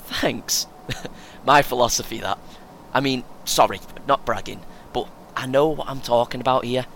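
An actor playing a chatty, self-important young British amateur runner in an audio play, earnest and keen, chuckling often. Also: male voice, a little slow, a bit bright. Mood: proud, boastful. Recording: faint vehicle noise behind. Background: vehicle rumble, coach ambience.

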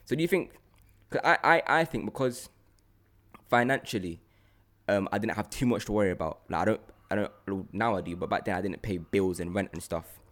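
A man, chatting casually as a podcast co-host and telling rambling, slangy, animated stories. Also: fairly low pitch, brisk. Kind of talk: casual chat.

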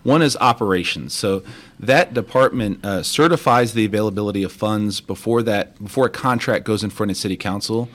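A man with a fairly low voice speaks in a measured, explanatory way, often restarting his sentences as he walks through figures. He is a guest on a talk show, from Texas, answering the host's questions in relaxed conversation. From Texas, United States. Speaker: guest, deputy city controller.

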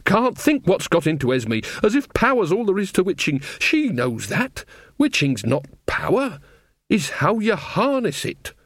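A man's voice: high-pitched, wildly swooping pitch, unhurried, no echo, voice pushed up, full character voice. A man doing a blunt, earthy old witch. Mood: gloomy, exasperated. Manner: folksy, blunt, dialect grammar.

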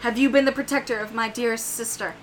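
Lady playing a formal old-fashioned knight guy, earnest, slightly high voice. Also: steady tone, fast talker, a little hiss or hum, close to an everyday voice. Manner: courtly, old-fashioned speech.

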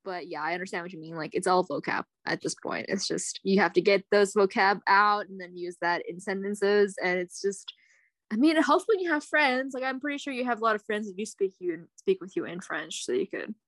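A woman's voice: mid-range voice, animated, a little fast, a bit bright, no echo. Chatty young woman, dry close sound. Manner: chatty, many "like" and "you know" fillers, encouraging. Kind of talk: casual chat.

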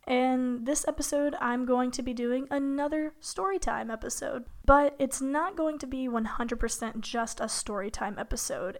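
A young woman hosting her own podcast in a casual, rambling way, her voice a bit hoarse from being sick. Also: on the high side. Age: young adult. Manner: chatty, rambling, with fillers and light laughs. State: hoarse from illness. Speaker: podcast host. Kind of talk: casual solo podcast talk.

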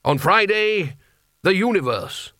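A pompous senior wizard, as a male narrator plays him, eager to please and easily flustered. His speech is hesitant and lilting, with lively pitch, in a close, dry storybook recording. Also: slow, no room sound, heavily acted. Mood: calculating. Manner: hesitant, stammering, ingratiating.

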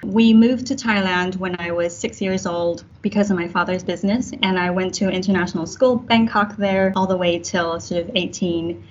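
A woman from Taiwan in her late thirties, a marketing executive answering podcast questions in a measured, thoughtful way. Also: lowish voice, steady tone. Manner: measured, thoughtful, uses corporate phrasing. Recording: dull lo-fi with faint noise.

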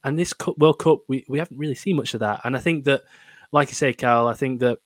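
A man's voice: medium pitch, fast, dry recording. A man co-hosting a casual football podcast, asking questions hesitantly and chuckling between restarts. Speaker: podcast co-host. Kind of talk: casual chat.

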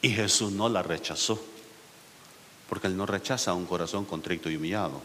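A man's voice: low-pitched, a little fast, bright, forceful tone. A male pastor, preaching a sermon to his congregation, asking them questions and rising to emphatic peaks. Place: church sanctuary. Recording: echoey church hall with faint hiss.

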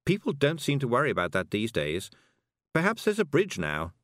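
A man voicing a middle-aged woman witch who speaks slowly, calmly and patiently. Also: mid-range voice, no room sound, studio quality, pitched above the performer's own voice. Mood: measured. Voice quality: slow, measured, patient.

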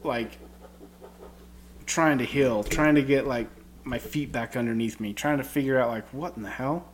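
A man in his forties co-hosting a podcast in casual chat, talking in halting starts, heavy with fillers. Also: medium pitch, slight background noise. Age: 40s. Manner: halting, many fillers and restarts. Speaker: podcast co-host.

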